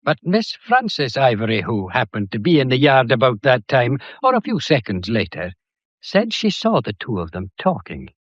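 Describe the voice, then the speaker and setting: A methodical police inspector from a radio mystery. His pitch swoops widely as he lays out points with pedantic, wry courtesy and deliberate timing. The sound is dry and rather dull. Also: wildly swooping pitch, no room sound. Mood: probing, satisfied. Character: methodical, theatrical, politely probing male police inspector. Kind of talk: detective radio drama acting.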